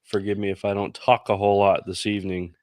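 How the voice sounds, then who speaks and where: A man's voice: lowish voice, flat delivery, fast talker, darkish tone, no room sound, studio quality. A man congested from a head cold, chatting casually as a podcast co-host. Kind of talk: casual chat.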